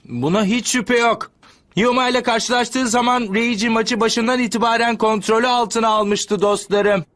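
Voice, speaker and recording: A man's voice: very high voice, fairly even intonation, moderate pace, fairly bright tone. A man voicing a sincere, spirited and supportive teenage boy hero in a dubbed cartoon. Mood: concerned.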